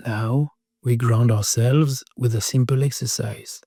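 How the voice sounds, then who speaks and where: Man, meditation narrator, very dark relaxed tone, slightly slow, soothing, short measured phrases. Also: mid-range voice, slightly rough, no echo, studio quality. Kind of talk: scripted guided meditation, calming instructions. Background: singing bowl tones.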